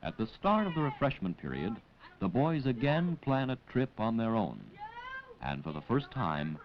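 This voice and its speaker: A man reading scripted educational film narration in a formal, measured, clinical manner, on a 1950 film soundtrack. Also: mid-range voice, sing-song. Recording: dull lo-fi 1950 film soundtrack, clear noise.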